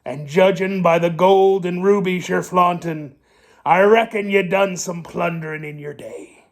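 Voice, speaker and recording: A man voicing a cranky, blustering pirate captain in a storybook, with slow, salty pirate speech. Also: high-pitched, steady tone. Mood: demanding.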